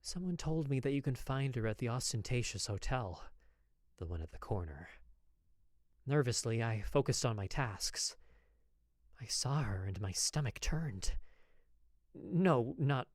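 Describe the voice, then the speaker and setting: A lovestruck apothecary from the old west as a voice actor plays it, confiding a secret infatuation. The delivery is dramatic and hesitant, with sighs and big swings of pitch. The recording is very dry and close. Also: expressive, unhurried, pauses often, a little mellow, no echo. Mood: tender, smitten. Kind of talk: dramatic first-person fiction narration, podfic.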